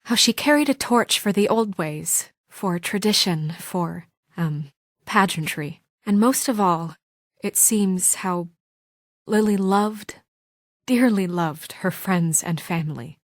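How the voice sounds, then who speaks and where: A woman's voice: mid-range voice, takes it slowly, no room sound, clean studio sound, natural voice. An actress voicing a composed, dutiful woman in a video game, earnest and controlled, with a stern edge when pushed. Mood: solemn. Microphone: dry studio mic.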